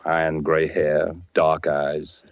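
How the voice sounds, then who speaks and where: An actor playing a wry, matter-of-fact amateur investigator in a 1940s radio suspense drama. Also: male voice, a bass voice, completely flat delivery, slow pace, no echo, heavily acted. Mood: wry, defensive. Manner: dry, wisecracking, matter-of-fact. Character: curious, wry, unadventurous man turned amateur investigator. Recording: dull 1940s radio recording with music.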